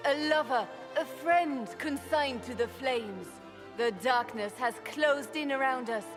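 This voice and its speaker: High-voiced, stately empress. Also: female voice. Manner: solemn, stately oratory. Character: regal, earnest empress addressing her people. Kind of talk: fantasy video game acting, formal speech. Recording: long hall reverb.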